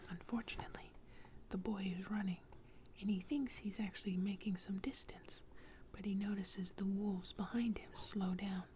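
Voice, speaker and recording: A woman telling an ASMR bedtime story in a hushed, breathy whisper, close to the microphone. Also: mid-range voice, slight background noise.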